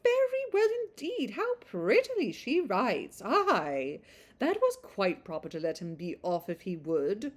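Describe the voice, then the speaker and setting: Role: older Regency gentlewoman read by a woman, kindly busybody, exclamatory, swoops high and loud, classic novel narration. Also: sing-song, unhurried. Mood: delighted, approving. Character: garrulous, good-hearted older English gentlewoman from Regency novel.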